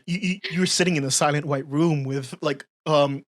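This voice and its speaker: Low-pitched woman in her 20s, slight filipino accent, blunt to-the-point talk, laughs a lot. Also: steady tone, normal speed. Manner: direct, laughing, casual swearing. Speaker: podcast co-host. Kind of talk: casual chat.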